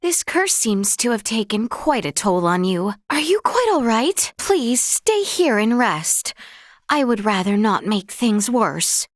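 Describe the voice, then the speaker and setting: A woman's voice: a little high, big pitch swings, unhurried, no room sound, studio quality. A woman voicing an earnest, courteous young noblewoman warrior in a video game, with formal, old-fashioned, genteel phrasing. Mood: gently concerned. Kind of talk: scripted video game character voice lines.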